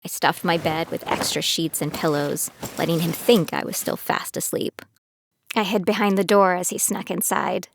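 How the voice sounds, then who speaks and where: A woman's voice: fairly low pitch, little pitch movement, moderate pace, creaky, slight background noise. A woman playing a determined young female trainee who tells her own story in an audio drama, in scripted first person. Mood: reflective narration. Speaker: narrator and lead character of an audio drama. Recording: studio voice over prominent music.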